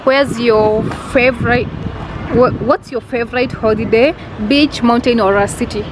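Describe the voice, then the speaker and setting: Brisk woman asking questions on the street. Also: fairly high pitch, steady tone. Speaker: off-camera interviewer. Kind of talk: rapid-fire interview questions. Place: busy city street outdoors. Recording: street traffic noise.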